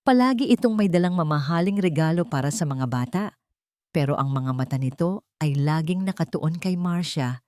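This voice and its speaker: A woman storyteller with a Filipino accent narrates a scripted drama. Her voice sits on the low side for a woman. The sound is dry and close, with very little room. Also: lively intonation, normal speed, mellow, no room sound.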